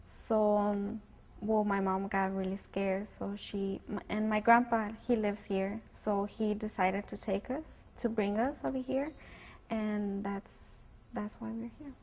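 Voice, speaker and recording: Flat-voiced young woman from Guatemala. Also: mid-range voice, takes it slowly. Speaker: student. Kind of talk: personal testimony.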